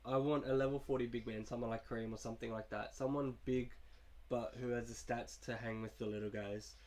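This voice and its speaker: A man's voice: mid-range voice, steady tone, fairly quick, a little mellow. A young man with a cold, casually talking through his video game team in a laid-back, rambling way. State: sick, coughing. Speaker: gaming YouTuber. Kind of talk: casual gameplay commentary.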